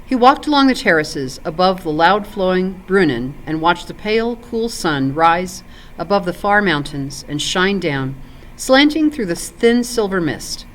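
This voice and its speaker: A woman reading a story aloud for families in an expressive, clearly phrased storytelling style. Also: on the low side, a little slow, slight background noise, rough recording. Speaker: volunteer story reader and ESL teacher.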